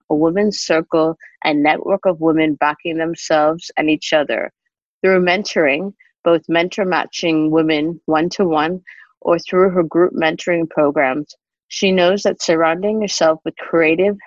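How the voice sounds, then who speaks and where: A woman podcast host introducing a guest in a scripted, even delivery. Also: low-pitched, no echo, highs cut off.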